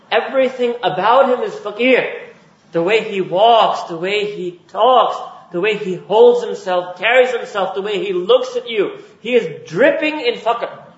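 A man from Pakistan giving a religious lecture as a preacher, building his points with emphatic repetition. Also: high voice. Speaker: Islamic preacher. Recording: roomy, dull lo-fi recording.

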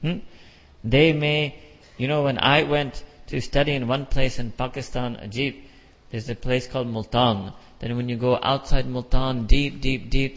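A middle-aged American man giving an emphatic sermon with repeated phrases and rhetorical questions. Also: mid-range voice. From United States. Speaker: imam. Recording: dull lo-fi sound with long hall reverb.

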